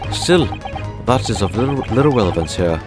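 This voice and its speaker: A man voicing an eccentric, pompous gentleman detective, reading the lines theatrically. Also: on the low side, big pitch swings, fairly quick. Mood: grandiloquent. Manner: grandiloquent, theatrical. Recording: game music behind.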